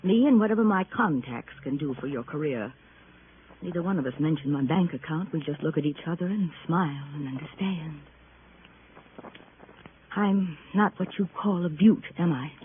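A woman's voice: sits low, animated, moderate pace. A woman playing a bored, sardonic, world-weary wealthy wife in a 1950s radio drama. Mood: bitter confiding. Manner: arch, world-weary, theatrical. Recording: tinny, noisy vintage radio recording.

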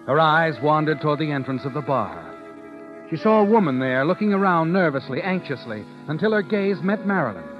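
An actor playing a sly, knowing narrator who needles in an insinuating way, in an old-time radio mystery. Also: male voice, fairly high pitch, very animated, fairly quick. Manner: insinuating second-person address. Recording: muffled vintage radio broadcast. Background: music.

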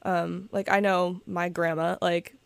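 A young podcast host asking questions in a relaxed, supportive way, with "like" and "um" fillers. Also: medium pitch, unhurried, vocal fry, smooth, no echo. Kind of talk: casual podcast interview.

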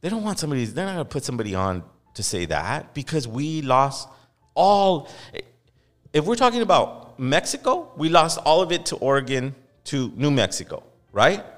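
A man's voice: on the high side, big pitch swings, normal speed. A man hosting a podcast in heated, casual talk, impassioned and profane. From Los Angeles. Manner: impassioned, profane, mocks others in quoted voices. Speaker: podcast host. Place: podcast studio. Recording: long hall reverb, faint music.

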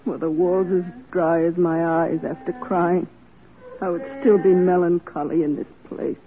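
A performer playing a melancholy, broken woman prisoner in a classic radio drama, speaking plaintively and haltingly. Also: mid-range voice, little pitch movement, soft background music, lightly acted. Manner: plaintive, halting, archaic rustic phrasing. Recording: tinny, noisy old radio drama recording.

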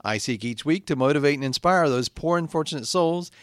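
A man playing a cursed podcast host, deadpan and hesitant with an exasperated edge, in a comedy show. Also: a little high, brisk, no echo, studio quality, close to an everyday voice. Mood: earnest, lofty.

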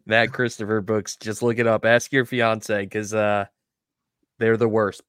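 A man's voice: fairly low pitch, flat delivery, unhurried, a bit bright, clear, resonant tone, no echo, clean studio sound. A man co-hosting a podcast and working as a teacher, chatting casually in a wry, teasing way. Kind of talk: casual chat.

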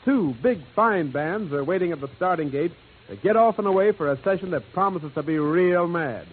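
A man's voice: high-pitched, animated, normal speed. An American man hosting a 1940s radio music show, announcing in showy, jive-talking patter. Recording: old, noisy, narrow-band radio broadcast.

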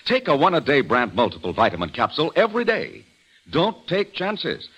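A male announcer reads a 1940s-style radio advertisement. His voice is husky and his pitch moves very widely for emphasis. It sounds like an old broadcast. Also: huge pitch swings, normal speed, fairly bright tone. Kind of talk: 1940s radio commercial read.

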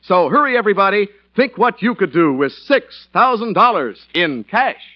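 High, animated male radio announcer. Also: moderate pace, loud music behind the voice. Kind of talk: 1940s radio commercial announcing. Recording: muffled vintage radio, faint studio audience.

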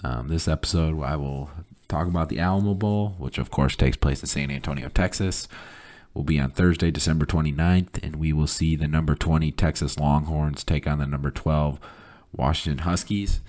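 A hoarse man getting over the flu, hosting a casual solo podcast and talking in a halting way with many fillers. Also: rumbling low, darkish tone. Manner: halting, many ums and uhs, reads off lists of stats. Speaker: podcast host.

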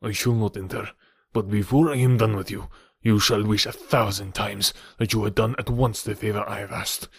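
A man's voice: lowish voice, fairly even intonation, normal speed, creaky, breathy edge, no room sound, clean studio sound. A man doing a scheming guttural villain. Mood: menacing.

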